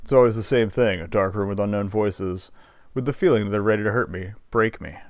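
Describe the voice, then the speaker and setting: A man's voice: lowish voice, fairly quick, darkish tone, dry recording, studio quality. A man streaming a game, casually commenting and reading the on-screen text aloud. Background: faint game music.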